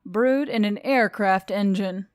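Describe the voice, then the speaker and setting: A woman's voice: medium pitch, takes it slowly, darkish tone, clean tone, soft background music, lightly acted. A woman voicing a brash, bossy female oni demon aircrew member in an audiobook, with a taunting, emphatic delivery. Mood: amused, teasing.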